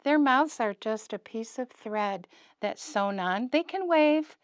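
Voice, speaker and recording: A woman's voice: on the high side, lively intonation, takes it slowly, smooth, no room sound. A woman presenting an interactive lesson to young children, giving simple instructions, questions and encouragement. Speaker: puppet show presenter for preschoolers.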